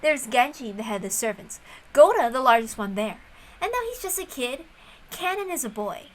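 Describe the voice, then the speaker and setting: A woman's voice: high voice, sing-song, moderate pace, slight background noise. A woman reading the part of a blunt, suspicious middle-aged younger brother in a visual novel. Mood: firm, urgent.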